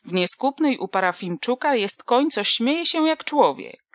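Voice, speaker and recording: A reader doing an eager young village lad. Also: male voice, very high voice, lively intonation, measured pace, a bit bright, no room sound, voice pushed up, exaggerated voice.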